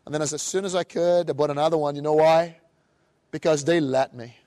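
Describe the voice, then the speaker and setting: A middle-aged man from Brazil with a Brazilian Portuguese accent, giving an animated seminar talk and acting out other people's voices. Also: a little high, brisk. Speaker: motivational speaker. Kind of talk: live seminar presentation.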